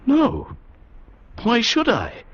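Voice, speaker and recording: A man's voice: very high-pitched, wildly swooping pitch, extremely slow, heavy background noise, full character voice. An actor playing a haunted man who tells of his uncanny journey in a brooding, intense, dramatic radio style. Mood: puzzled, irritated. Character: haunted male traveler recounting an uncanny experience. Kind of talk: 1940s-50s radio drama narration. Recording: dull vintage radio recording with music.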